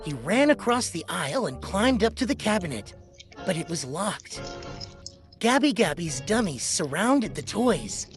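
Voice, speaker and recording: A woman's voice: on the low side, very animated, a little slow. A woman narrating a children's read-along storybook in a scripted storytelling style. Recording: music behind the voice. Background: music.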